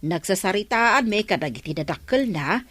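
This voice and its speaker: An actress with an Ilocano accent playing an earnest village councillor reporting news in a radio drama. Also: female voice, mid-range voice, expressive, normal speed, a bit bright, no echo. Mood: explaining.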